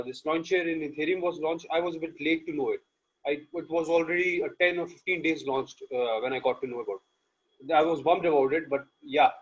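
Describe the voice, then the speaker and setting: A man from Malaysia chats eagerly on a video call, repeating himself as he goes. His voice is a little high. The audio is dull. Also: breathy edge. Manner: eager, repetitive, a little rambling. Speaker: participant on a call. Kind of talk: casual chat.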